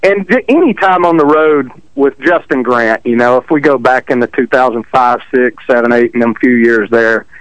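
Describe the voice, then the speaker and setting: A man from Texas with a country accent, a drag racer telling stories in a relaxed, self-deprecating way over the phone. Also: medium pitch, moderate pace, hardly any pauses, dry recording, dull audio. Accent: Texan country. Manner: easygoing, self-deprecating storyteller. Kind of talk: casual interview chat. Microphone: phone call.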